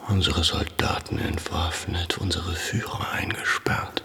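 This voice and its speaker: An actor in a German radio drama playing a worried, educated Armenian gentleman, speaking formally and earnestly as he presses for action. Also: sits low, flat delivery, a little slow, vocal fry, in a whisper, big-room sound. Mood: bleak, hushed.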